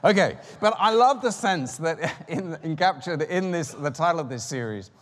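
A man's voice: a little high, lively intonation, normal speed. Man, 50s, preacher, chatty rambling asides, long reverberant hall. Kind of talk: sermon.

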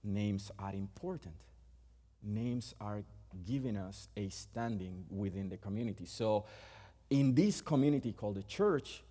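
A man's voice: lowish voice, warm tone. Puerto Rican man preaching in a hall. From Puerto Rico. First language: Spanish. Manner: halting, with many restarts and fillers. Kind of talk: sermon.

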